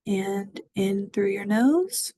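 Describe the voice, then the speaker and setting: A woman leads a body scan meditation at an unhurried, slow pace, her voice gentle and soothing. It sits at a middle pitch and sounds dry and close to the mic. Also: animated, husky, no room sound. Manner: slow, gentle, soothing guidance with long pauses. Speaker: mindfulness guide.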